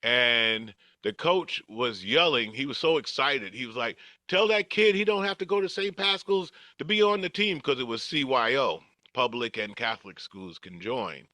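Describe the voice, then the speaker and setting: Bright-voiced older man reminiscing. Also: mid-range voice, expressive, normal speed, few pauses, no echo. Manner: chuckles through anecdotes, acts out dialogue. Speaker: podcast guest telling life story. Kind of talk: casual reminiscing storytelling.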